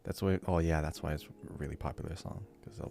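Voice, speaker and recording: A man's voice: rumbling low, fairly even intonation, quick pace, gravelly, a little mellow. A man on a podcast quiz, casually thinking aloud in a hesitant, musing way.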